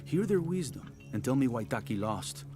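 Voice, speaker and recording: Male actor for cryptic outcast villager in a game, mid-pitched, calm and wry, music behind. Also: normal speed, close to an everyday voice. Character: wry outcast man, living as one dead. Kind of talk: video game dialogue acting. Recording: music, dripping water behind voice.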